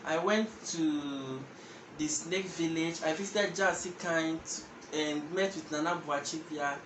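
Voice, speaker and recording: A young man with a Ghanaian accent hosting a casual language lesson straight to camera, explaining step by step and repeating words as he goes. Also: a little high. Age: young adult. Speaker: language teacher and channel host. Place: indoor room with patterned wall. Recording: echoey room with background noise.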